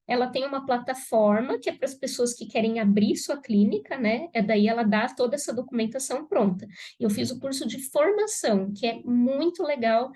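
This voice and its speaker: A woman's voice: high voice, darkish tone. A Brazilian woman pharmacist giving a live class to students, talking conversationally with a congested, cold-stuffed voice and frequent "né?" tags. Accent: Brazilian Portuguese. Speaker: pharmacist teaching aesthetics students. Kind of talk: live online class talk.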